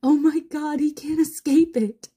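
A woman voicing an excitable, easily amused husband and father. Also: high-pitched, measured pace, voice pushed up. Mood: gleeful.

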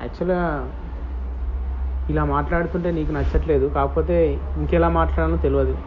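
A man's voice: a little high, fairly even intonation, brisk. A young man in a private phone argument, talking in a clipped, defensive way. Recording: muffled leaked phone-call recording, faint noise.

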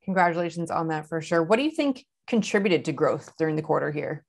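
A woman's voice: low-pitched, expressive, fast talker. A young woman asking prepared questions as a business news interviewer. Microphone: lapel mic.

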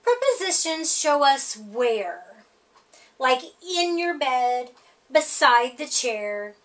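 A middle-aged woman reads a picture book aloud at storytime. Her voice sits high and swings widely in pitch. She takes her time, leaning on the rhymes in a sing-song way. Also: big pitch swings, slow, fairly bright tone. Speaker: storytime reader.

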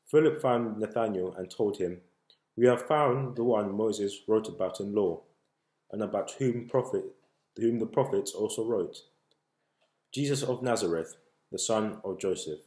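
A man's voice: on the low side, a little slow, a little mellow. A young man reading a Bible passage aloud, recorded on a device at arm's length in a room at home. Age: young adult. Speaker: scripture reader. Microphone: laptop or phone at arm's length. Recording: echoey room on video selfie.

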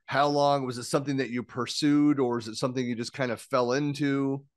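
A man's voice: mid-range voice, steady tone, brisk, dry recording. A man hosting an interview show from a home studio, greeting his guest and asking questions in an upbeat, friendly way. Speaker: interview host. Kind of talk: casual interview chat. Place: home studio with shelves and lights, indoors. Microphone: studio mic on a boom arm.

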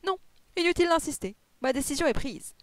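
A reader putting on a brisk, commanding gentleman detective from a classic storybook. He is curt and assertive, sure of every decision, recorded dry and close. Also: sits high, animated, no echo, pitched above the performer's own voice. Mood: firm.

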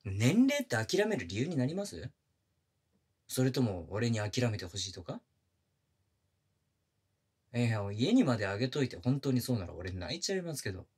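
A man's voice: medium pitch, sing-song, normal speed, long pauses, darkish tone, slightly rough. A man playing a pushy, flirtatious younger coworker with puppyish eagerness, speaking casually and intimately to the listener in a romantic audio drama. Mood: low, seductive. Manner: casual junior-to-senior speech, teasing and coaxing. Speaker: voice actor in a romantic situation voice drama. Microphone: close binaural studio microphone.

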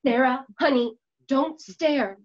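A woman performs a frightened, protective mother in a radio Western. The voice is very high and slow with wide swings, in slightly dull home-call audio. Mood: panicked, urgent. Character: frantic, protective pious frontier mother. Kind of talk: Western radio play acting.

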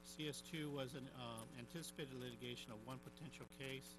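Fast-talking city attorney man. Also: medium pitch, fairly even intonation, very noisy. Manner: rapid, matter-of-fact. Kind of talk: formal legal report.

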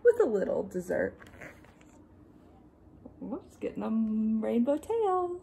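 Expressive young woman reading slowly to children. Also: a little high, creaky, slight background noise. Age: young adult. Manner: expressive, deliberate, with playful asides to young listeners. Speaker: teacher reading a children's picture book. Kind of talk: storybook read-aloud for young children. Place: small room indoors.

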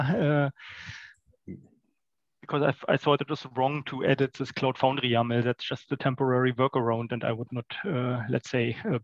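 A man's voice: mid-range voice, a bit bright, no echo, highs cut off. A man discussing work on a video call through a headset, a bit hesitant.

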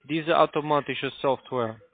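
A man with a foreign accent in non-native German making a polite, halting telephone sales pitch over a phone line. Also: steady tone, a little hiss or hum. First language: not German. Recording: tinny, narrow phone line.

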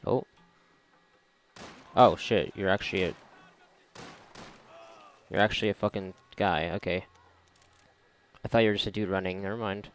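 A man's voice: low voice, fairly even intonation, moderate pace, long pauses, slight background noise. A man giving casual, sarcastic, rambling and profane commentary as he plays a video game. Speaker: gamer commentating on a game playthrough. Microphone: close mic, voice-over on gameplay.

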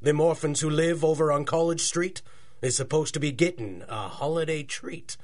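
A man's voice: on the high side, moderate pace, a little mellow, lightly acted. Man acting a scheming, slangy gangster boss. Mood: greedy, gloating. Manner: slangy tough-guy talk. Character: villainous rat-faced gangster boss, scheming and greedy. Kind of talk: rhymed radio drama acting.

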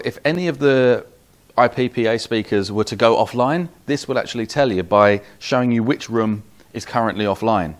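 A man in his forties with a British accent giving a methodical, step-by-step product demonstration. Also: mid-range voice. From United Kingdom. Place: small office demo room, indoors.

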